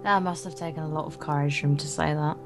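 A bitter, brooding schoolgirl from a visual novel , read by a woman, guarded and lonely. The voice sits slightly low, with soft music behind it. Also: fairly even intonation, quick pace. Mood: wondering. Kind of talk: visual novel inner monologue read aloud.

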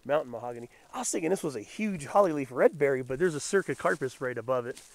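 A man getting over a head cold, his voice deeper and raspier than usual, casually naming plants as he walks through a mountain forest before dawn. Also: fast talker, no echo. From Southern California. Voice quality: raspy. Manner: musing, relaxed botanical naming. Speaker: nature vlogger. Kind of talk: casual walking commentary. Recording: outdoor camera audio with background noise.

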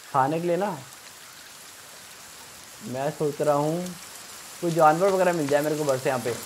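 Higher-pitched young man commentating over noise. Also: low-quality recording. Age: young adult. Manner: thinking aloud, repeats himself. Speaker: gaming streamer. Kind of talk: casual let's play commentary.

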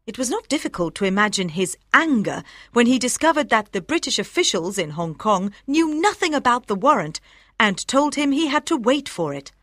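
Expressive woman narrating a storybook. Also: fairly high pitch, moderate pace, no echo, studio quality. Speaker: audiobook narrator. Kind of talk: scripted storybook narration for language learners.

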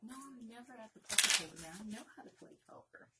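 A middle-aged woman doing a casual, flirty ASMR roleplay as a card dealer, chatting in an unhurried, conversational way close to the microphone. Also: fairly high pitch, animated, heavy background noise. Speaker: ASMR performer playing a blackjack dealer.

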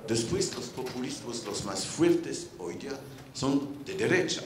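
A man's voice: mid-range voice, animated, measured pace. An older man with a French accent, speaking on a round-table panel on a large indoor stage, searching for words with restarts, into a handheld mic. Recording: roomy hall with faint noise.

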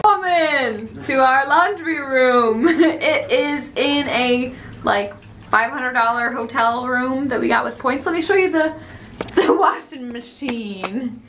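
A woman's voice: high-pitched, lively intonation, slow pace, fairly bright tone, a little hiss or hum. A young woman in her twenties chatting casually on a travel vlog, playful and teasing, often giggling. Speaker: travel vlogger. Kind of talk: casual chat.

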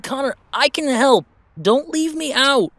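A man's voice: very high voice, expressive, measured pace, a bit bright, dry recording, pitched above the performer's own voice, full character voice. A man voicing an eager, anxious young male werewolf who pleads insistently in an audio drama. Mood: anxious, pleading.

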